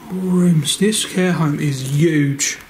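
A man's voice: a little high, takes it slowly, a little mellow. A man chatting casually and exclaiming at his surroundings as he narrates an exploring vlog on a handheld camera. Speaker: paranormal explorer, channel host. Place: indoors, walking through empty derelict building. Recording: echoey empty rooms, background noise.

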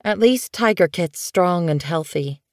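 A woman's voice: on the low side, lively intonation, a little slow, no room sound, studio quality. A woman voicing a caring, anxious young female cat in an audiobook, an earnest and soothing sister.

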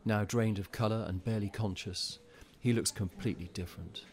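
A man's voice: on the low side, steady tone, fairly quick, darkish tone, close to an everyday voice. A man acting as the grave narrator of a scripted audio drama. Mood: grave, measured. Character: grave storyteller of a virus outbreak drama.

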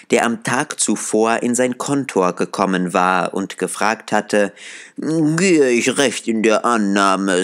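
A man narrating an audiobook in a calm, measured storytelling voice. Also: on the low side, clear, resonant tone. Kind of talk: scripted narration.

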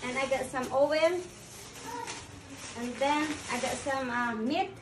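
Brief: Filipino-accented woman vlogger, forties, high voice, chatty and loose, recorded from a few steps away on a phone. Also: slow. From Philippines. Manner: chatty, says "guys" constantly, simple loose phrasing. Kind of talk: casual haul vlog. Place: small pink-walled room indoors. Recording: echoey room, distant mic, noisy.

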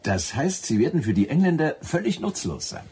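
A man's voice: a little high, sing-song, brisk, warm tone. An actor playing a cautious, patriotic Brazilian boatman in a German radio drama, blunt and grumbling. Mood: grudging admiration. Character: gruff, cautious, patriotic Brazilian boatman, grudgingly won over.

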